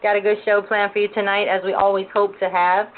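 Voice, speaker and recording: A woman's voice: mid-range voice, little pitch movement, brisk. A woman hosting a religious talk show for women, greeting listeners and giving prayerful thanks with loose fillers. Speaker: host. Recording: noisy, tinny phone-line audio.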